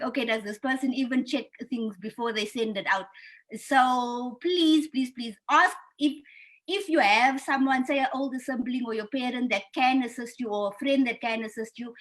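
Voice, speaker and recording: A woman's voice: fairly high pitch, a bit bright, poor audio. Direct woman giving career advice. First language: Afrikaans. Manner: direct, advising, speaks in frequent restarts. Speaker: panel speaker on careers. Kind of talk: online panel advice talk.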